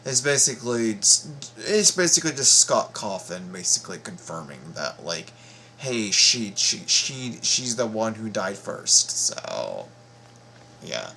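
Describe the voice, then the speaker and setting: A man's voice: lowish voice, sing-song, measured pace, creaky, pushed and bright. A man giving casual game reaction commentary as host, stammering and repeating phrases in slangy, profane talk. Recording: noticeable background noise.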